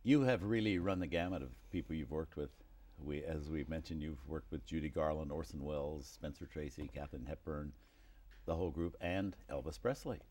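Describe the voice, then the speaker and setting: A man's voice: extremely low pitch, fairly quick, a little mellow. A man hosting an interview, prompting his guest with knowledgeable questions. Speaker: interview host. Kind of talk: conversational interview.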